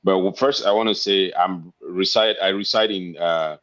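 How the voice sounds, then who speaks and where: Cameroonian guy in his 50s, really low voice, polite, lots of halting, dull lo-fi call sound. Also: fairly bright tone. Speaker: interview guest. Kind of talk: casual interview talk. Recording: dull, lo-fi internet call audio.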